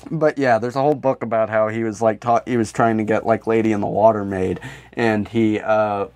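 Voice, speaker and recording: A man co-hosting a comedy podcast, telling anecdotes in a casual, rambling, halting way and acting out the quotes. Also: lowish voice, steady tone, a little mellow. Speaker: podcast co-host.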